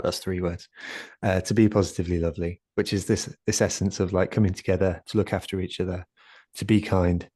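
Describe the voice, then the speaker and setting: A soft-spoken man in his late twenties or thirties, talking as a guest on a video call, hesitant with many fillers and restarts. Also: deep voice, no room sound, studio quality. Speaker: guest speaker, community founder. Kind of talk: conversational talk in an online session.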